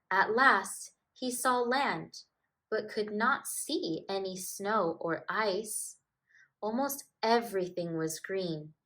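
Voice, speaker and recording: Bright-voiced woman reading a children's storybook. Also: on the low side. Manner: expressive, deliberate, emphatic delivery for young children. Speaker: teacher reading a picture book aloud. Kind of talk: animated children's storybook narration.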